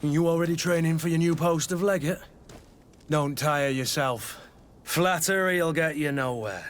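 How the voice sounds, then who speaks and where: An actor playing a blunt, bantering mercenary master-at-arms and mentor in a video game. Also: male voice, fairly high pitch, a little slow, close to an everyday voice. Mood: wry. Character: gruff, teasing mercenary master-at-arms, loyal fighting mentor. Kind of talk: video game character acting.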